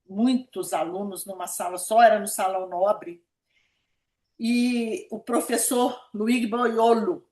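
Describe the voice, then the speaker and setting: A woman of about seventy with a Brazilian Minas Gerais accent, recalling her life in a conversational interview and repeating words for emphasis. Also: mid-range voice. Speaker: interviewee, pediatric nephrologist. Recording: echoey room sound.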